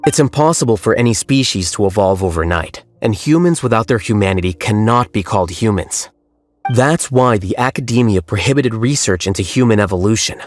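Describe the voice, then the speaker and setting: Guy doing a cool dry scholar voice, blunt, matter of fact, soft music behind. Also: fairly low pitch, expressive, fairly quick, lightly acted. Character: aloof, blunt young male scholar. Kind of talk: game cutscene voice acting.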